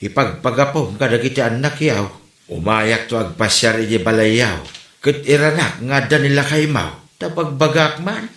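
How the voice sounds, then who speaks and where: A man's voice: mid-range voice, normal speed, fairly bright tone, lightly acted. A man acting a grumbling but caring elderly father and grandfather in a comedy drama, in an echoey room.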